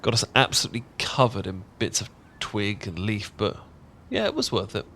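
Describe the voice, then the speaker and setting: A man's voice: lowish voice, sing-song, normal speed, sharp tone, a little hiss or hum, exaggerated voice. A man with an English accent acting a bashful, affectionate young roommate in an intimate ASMR roleplay, chatty and stammering when flustered. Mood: playful, cheerful. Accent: British, English. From England. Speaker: audio roleplay voice actor.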